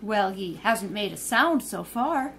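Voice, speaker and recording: An actress playing a poised young woman sleuth in a 1930s-style radio drama, polite and quick-witted, then indignant. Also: medium pitch, expressive, a bit bright, a little hiss or hum. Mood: charming.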